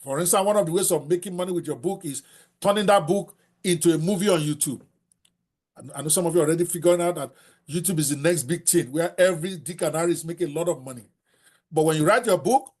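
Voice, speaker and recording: Blunt older Nigerian man with a Yoruba accent. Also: fairly high pitch. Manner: blunt and animated, mixes in Yoruba phrases. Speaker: host. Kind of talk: teaching a writing class.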